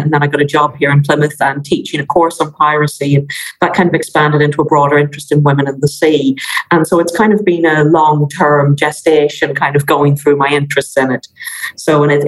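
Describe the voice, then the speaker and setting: A woman with an Irish accent, a historian answering interview questions in a relaxed, explanatory way. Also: sits low, low-quality recording. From Ireland. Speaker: historian guest.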